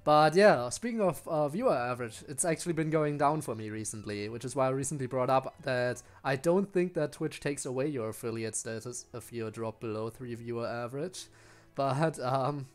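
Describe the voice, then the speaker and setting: Male streamer, mid-pitched, rambling casually. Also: moderate pace, few pauses. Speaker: game streamer. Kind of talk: casual livestream commentary. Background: faint music.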